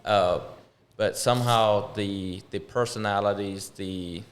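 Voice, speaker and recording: A man's voice: lowish voice, fairly even intonation, takes it slowly. A man. He is a business owner being interviewed, and he explains his work in a casual, conversational way. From Arkansas, USA. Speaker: shed company co-owner, interview guest.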